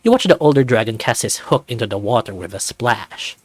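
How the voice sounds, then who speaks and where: A man reading a visual novel aloud as its narrator, in an expressive storytelling style. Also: mid-range voice, a little fast, no room sound.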